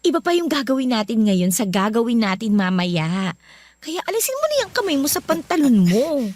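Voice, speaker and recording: A bold, insistent young wife. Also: female voice, fairly high pitch, very animated, normal speed, darkish tone, no room sound. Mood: teasing. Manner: teasing and insistent, then indignant. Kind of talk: radio drama acting. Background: background music.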